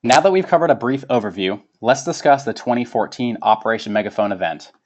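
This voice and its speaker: A man's voice: medium pitch, expressive, quick pace. A man reading a formal scripted webinar presentation as its presenter. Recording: dull lo-fi webinar audio.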